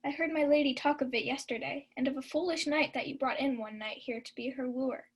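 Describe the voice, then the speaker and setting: Household maid woman in the role, radio Shakespeare: high voice, scolding, quick-witted. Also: slightly rough. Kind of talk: student Shakespeare radio acting.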